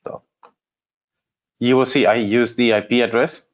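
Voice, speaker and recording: Slow-paced man, dull lo-fi webinar sound. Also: mid-range voice, fairly even intonation. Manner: halting, step-by-step, thinking aloud while navigating. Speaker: trainer presenting a product course. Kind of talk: software demonstration, unscripted explanation.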